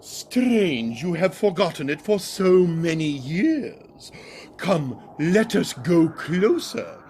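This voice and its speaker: An actor playing a solemn, probing spirit guide in a radio play, speaking deliberately and theatrically. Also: male voice, a little high, sing-song, a little mellow, slight background noise, full character voice. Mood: solemn, guiding. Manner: slow, deliberate, questioning. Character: solemn, probing male ghostly guide through past memories. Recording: music behind the voice.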